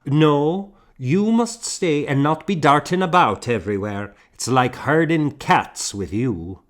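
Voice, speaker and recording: A man's voice: on the high side, expressive, slow pace, pitched above the performer's own voice. A man voicing a curt, disapproving, bossy woman in an audiobook novel. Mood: brisk scolding. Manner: curt, scolding.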